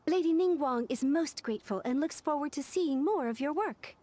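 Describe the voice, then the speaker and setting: An actress plays a courteous female assistant to an official in a video game. Her voice is high, and her delivery is formal and polite. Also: normal speed, mellow, close to an everyday voice.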